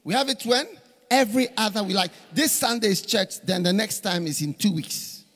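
A man's voice: sits high, moderate pace. A middle-aged man preaching at a conference on a stage, telling stories with many repeated phrases, speaking into a handheld mic. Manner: animated storytelling with repeated phrases. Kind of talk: conference sermon. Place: large church stage with hall reverb. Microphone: handheld microphone. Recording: reverberant hall.

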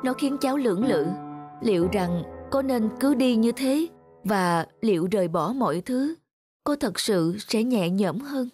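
An actress playing a troubled, polite young wife, confiding earnestly and sorrowfully in an animated drama. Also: female voice, a little high, a little slow, soft background music.

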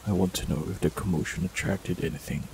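A man playing a gravelly ancient forest warlock. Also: low voice, fast. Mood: calm. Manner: dry, teasing humor. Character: ancient immortal male forest warlock, teasing. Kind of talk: audio roleplay acting. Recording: steady rain noise behind voice. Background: rain.

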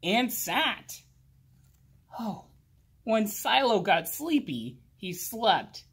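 A man reading a children's picture book aloud expressively, drawing out words and pausing. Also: high-pitched, breathy edge. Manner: slow, animated, with drawn-out words and pauses. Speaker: children's storybook reader. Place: indoors, library with bookshelves.

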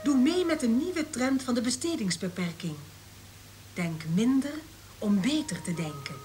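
An actress playing a dreamy radio station announcer, delivering measured, persuasive advertising slogans. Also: female voice, on the high side, lively intonation, music in the background. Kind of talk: radio advertisement within a drama.

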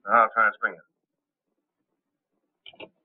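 An actor playing a curt, bossy gangster boss in a 1930s film, talking in slang. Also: male voice, very slow. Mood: commanding. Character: gruff commanding male gangster boss. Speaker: gang boss Brossett. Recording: tinny old 1930s film soundtrack.

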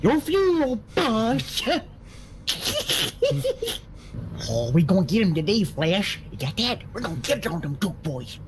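A bumbling Southern sheriff for a comic game roleplay. The voice is high and very animated, griping and folksy, with noise in the recording. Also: male voice, moderate pace. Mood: excited. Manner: folksy griping, mild cussing. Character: excitable, grumbling, bumbling male Southern county sheriff.

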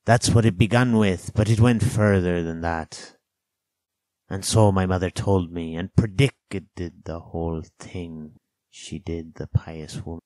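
A man voicing a half-crazed, sly and childish old marooned sailor in a storybook, with rustic dialect, drawn-out words and sudden excitable bursts. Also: low-pitched, no room sound, poor audio. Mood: rambling, confiding.

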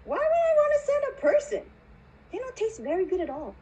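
A woman voicing an eccentric, chirpy male factory owner in a puppet show, theatrical and animated. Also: extremely high pitch, fairly quick, highs cut off. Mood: showy.

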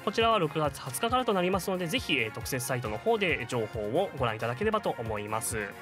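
Male staff announcer, slightly high, quick and lively, formal news updates over loud music. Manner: formal, polite presenter. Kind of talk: news announcements on a web radio show. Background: prominent music.

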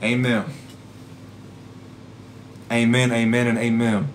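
A hoarse young man leads a fervent livestream prayer, his words rolling in steady repeated waves. He speaks at a middle pitch into a phone held at arm's length in a small room, with faint noise underneath. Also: slow. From Rockford, Illinois. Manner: fervent, rhythmic, repetitive prayer cadence. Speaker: evangelist. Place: small indoor room at home. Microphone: phone camera at arm's length.

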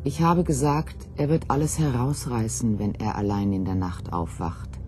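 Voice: mid-range voice, steady tone, moderate pace, darkish tone, slight background noise. A person giving a reflective personal reminiscence in plain, sober, short sentences.

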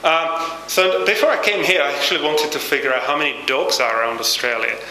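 A man in his thirties with a Czech accent gives an informal talk as a university lecturer, halting with many fillers and restarts, on a handheld mic in a small hall. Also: on the high side, sharp tone, slight background noise. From Czech Republic. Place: small brick-walled hall with projector screen. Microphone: handheld microphone. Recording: roomy hall echo.

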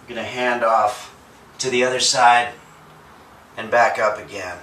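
A young man hosting a home workout parody, explaining each exercise with earnest deadpan delivery and halting restarts. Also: mid-range voice, background noise. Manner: earnest, trailing off and restarting mid-sentence. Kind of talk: deadpan fitness instruction. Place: small bedroom at home. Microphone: camera mic from across the room. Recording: echoey room, voice a bit far from mic.

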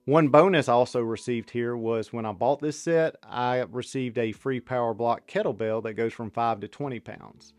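Male fitness host, 30s, mid-pitched, plain reviewer read, dry close mic, soft music underneath. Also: normal speed, hardly any pauses, no room sound. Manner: steady, matter-of-fact delivery. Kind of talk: scripted product review voice-over.

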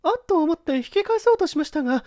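A man's voice: very high voice, lively intonation, fast talker, a little mellow, no echo, clean studio sound, pitched above the performer's own voice. A man voicing a frightened young housemaid, polite and faltering, in a mystery story reading. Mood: shaken, faltering. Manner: faltering, polite feminine speech.

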